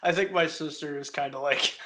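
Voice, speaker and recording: Young man, early twenties, co-host, higher bright voice, excitable. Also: brisk, slight background noise. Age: 20s. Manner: excitable, laughing, animated. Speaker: podcast co-host. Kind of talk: casual podcast chat.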